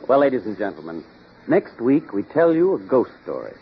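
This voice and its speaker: A man's voice: mid-range voice, very animated, fairly quick, slight background noise. A man playing a suave mystery-writer detective who addresses listeners in old radio style. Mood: genial, dramatic. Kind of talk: 1940s radio show host patter. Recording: dull old radio recording.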